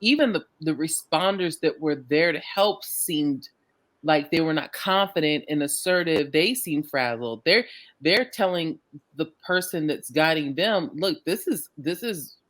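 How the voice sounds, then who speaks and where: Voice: mid-range voice, lively intonation, measured pace, a bit bright. A co-host giving conversational reaction commentary, agreeing readily and restating points with some hesitation.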